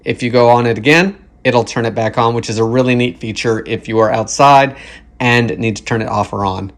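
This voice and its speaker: Guy doing a casual product review, mid pitched voice, explains stuff step by step.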